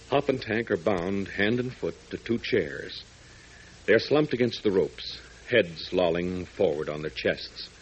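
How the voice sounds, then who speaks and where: A man's voice: sits low, a little hiss or hum. A male announcer narrating a 1940s radio adventure serial, speaking dramatically to young listeners. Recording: dull 1940s radio transcription.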